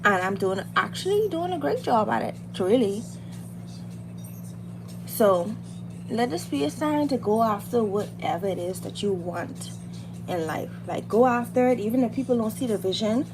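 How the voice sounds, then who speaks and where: A Bahamian woman hosts a casual livestream over noticeable background noise. Her voice sits a little high, and she talks in an informal, motivational girl-talk style, repeating phrases to drive them home. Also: animated, moderate pace. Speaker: livestream host, beauty and hair content creator. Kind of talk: casual chat while doing makeup, motivational girl talk.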